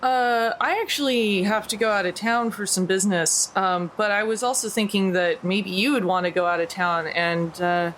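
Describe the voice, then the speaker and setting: A woman's voice: medium pitch, steady tone, normal speed, hardly any pauses, fairly bright tone, slight background noise, rough recording. A woman, a tabletop game player improvising in-character dialogue in a casual, hesitant everyday voice.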